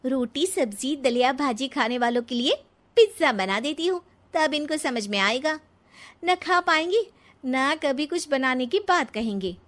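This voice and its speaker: A woman's voice: sits high, lively intonation, a little slow, fairly bright tone. A woman voicing a reluctant, scheming young city bride in a Hindi cartoon story, exclamatory and complaining.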